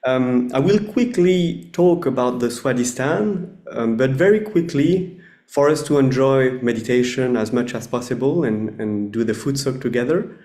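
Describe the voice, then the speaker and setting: A man presenting a meditation lesson over a video call, explaining in quick, friendly terms with some fillers. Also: medium pitch, mellow, roomy sound.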